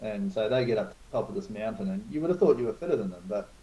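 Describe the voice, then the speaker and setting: A man's voice: on the low side, expressive, very fast, mellow, rough recording. A man in his forties from New Zealand, telling stories in a frank, self-mocking way as a guest in a casual chat. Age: 40s. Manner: laid-back storytelling, frank and self-mocking. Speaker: guest, club organizer.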